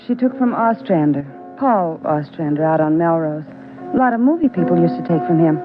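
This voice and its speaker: A woman's voice: fairly low pitch, expressive, fairly quick, lightly acted. An actress playing a guarded, hesitant piano saleswoman in a 1940s radio drama. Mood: hesitant, uneasy. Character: reluctant, plain-spoken woman piano seller. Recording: tinny narrow-band vintage radio recording. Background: piano music.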